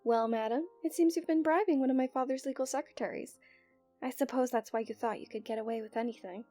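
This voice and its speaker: Woman acting a high, mellow, confident young princess. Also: fast talker, faint music behind, lightly acted. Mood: poised, commanding. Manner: soft, intimate delivery that often drops into whispers. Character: young princess, romantic, confident, strong-willed and scheming. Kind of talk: romantic ASMR roleplay acting.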